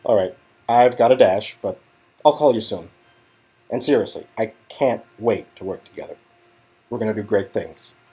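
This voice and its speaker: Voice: medium pitch, moderate pace, pauses often, dark tone, husky, faint music behind, pitched below the performer's own voice, close to an everyday voice. A performer voicing a self-deprecating, wisecracking young male Hollywood agent in an earnest, conversational game-dialogue reading. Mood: eager.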